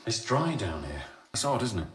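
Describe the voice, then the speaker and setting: A man's voice: lowish voice, sing-song, normal speed, slight background noise. An actor playing a cheeky male technician in a radio drama, bantering. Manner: jokey, bantering.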